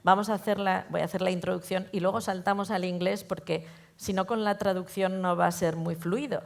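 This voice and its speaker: Low-voiced woman curator with a gravelly edge. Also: fairly even intonation, brisk. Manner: explanatory, informal lecturing. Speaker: museum curator. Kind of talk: presenting an exhibition talk. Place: museum auditorium.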